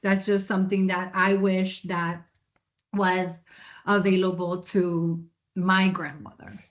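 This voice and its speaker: Woman host talking slow, kinda emotional, drops spanish bits, muffled lo-fi sound. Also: on the low side, little pitch movement. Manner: emotional, restarts often, mixes Spanish phrases. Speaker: podcast co-host. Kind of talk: casual interview chat.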